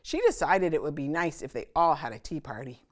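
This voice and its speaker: A woman hosting a children's story time, reading aloud and pausing to chat with and question her young listeners. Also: low voice, expressive. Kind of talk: animated read-aloud of a picture book for children.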